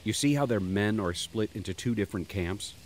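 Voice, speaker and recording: A man doing a deep, calm army commander. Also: a little fast, a little hiss or hum, natural voice. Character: shrewd male army commander, calm strategist.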